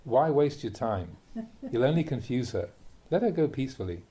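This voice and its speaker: A man, a Buddhist monk, reads a translated spiritual talk aloud in a measured, emphatic way, posing rhetorical questions. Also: mid-range voice, big pitch swings, mellow. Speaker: Buddhist monk reading a teacher's talks aloud. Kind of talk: reading a translated Dharma talk aloud, with brief asides.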